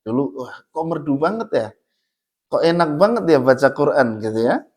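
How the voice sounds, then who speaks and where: A man's voice: fairly high pitch, big pitch swings, normal speed, a little mellow. Chatty, jokey man teaching Quran recitation. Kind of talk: casual religious study talk. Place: mosque.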